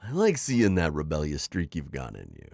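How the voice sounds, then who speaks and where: A man's voice: rumbling low, wildly swooping pitch, normal speed, creaky, darkish tone, dry recording, studio quality, heavily acted. A man playing a sly, teasing, middle-aged retired magical villain in an ASMR roleplay, theatrical and coaxing in his delivery. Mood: sly, amused. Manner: theatrical, teasing, manipulative coaxing with sighs and chuckles. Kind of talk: scripted ASMR roleplay acting.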